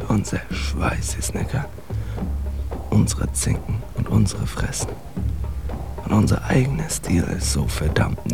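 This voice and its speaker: An actor playing a bitter, streetwise young immigrant man in a radio drama, speaking intense, profane slang in a gravelly, confiding half-whisper. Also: sits low, heavily acted. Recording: music behind, roomy sound.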